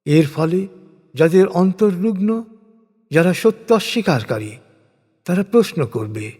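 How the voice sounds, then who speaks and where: Guy doing solemn scripture narration, big reverb, slow n dramatic, kinda husky, voice rises and falls a lot. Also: high-pitched, expressive, darkish tone. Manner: measured, dramatic phrasing with deliberate pauses. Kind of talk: solemn scripted religious recitation in prose. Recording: long hall-like reverb.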